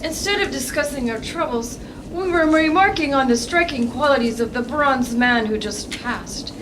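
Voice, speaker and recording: A woman acts a proud, demure young señorita in an old-time radio play. Her voice is fairly high and her phrasing formal. She sounds away from the mic on a noisy stage. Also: normal speed, lightly acted. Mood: proud, curious. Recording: noisy live stage, distant microphone.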